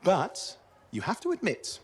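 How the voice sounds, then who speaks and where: A man's voice: sits high, huge pitch swings, measured pace, husky, heavily acted. A man playing an eager, restless young radio operator in a video game, chatty, cheeky and emphatic. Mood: indignant, animated. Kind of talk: video game cutscene acting.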